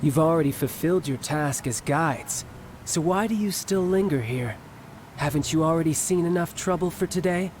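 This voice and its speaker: Man acting as young male game villain, fairly high voice, smug, theatrical, taunting, eager for a fight. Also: normal speed, darkish tone, slight background noise, lightly acted. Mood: menacing. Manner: theatrical, taunting, self-assured.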